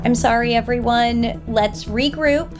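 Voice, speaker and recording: An actress playing a sharp, controlling female board member in an audio soap opera, brisk and exasperated. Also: a little high, over music, lightly acted. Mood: flustered, controlled. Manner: brisk, commanding, indignant.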